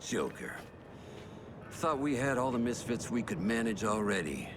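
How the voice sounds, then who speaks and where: An actor playing a stern, weary military squad commander in a video game, terse and disgruntled. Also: male voice, fairly low pitch, very animated, normal speed. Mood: stern warning. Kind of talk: video game character acting. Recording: game audio with clear ambient noise.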